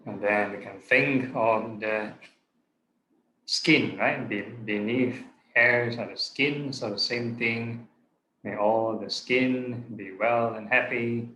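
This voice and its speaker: A man, a Buddhist monk guiding a meditation in short, deliberate phrases with long pauses. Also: mid-range voice, steady tone, sharp tone. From Singapore. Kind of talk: guided meditation instruction. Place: online video call session in a room. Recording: roomy echo, clear background noise.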